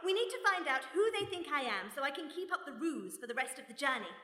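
A shrewd British lady detective in a radio play. Her voice is high and bright, with composed, dryly witty delivery. It rings in an echoey hall. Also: sing-song, fast talker, full character voice. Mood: composed, wry. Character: shrewd, unflappable British mother turned amateur sleuth. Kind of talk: radio play mystery acting. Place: stage before a live audience. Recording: echoey hall, live stage recording.

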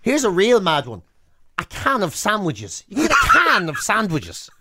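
A man's voice: sits high, huge pitch swings, moderate pace, very noisy. An Irish man co-hosting a radio show with comic banter, incredulous and emphatic, repeating phrases for effect. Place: radio studio.

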